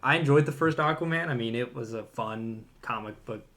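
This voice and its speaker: A man's voice: medium pitch, a little fast, fairly bright tone. A man co-hosting a podcast, discussing films in casual conversation. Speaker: podcast co-host.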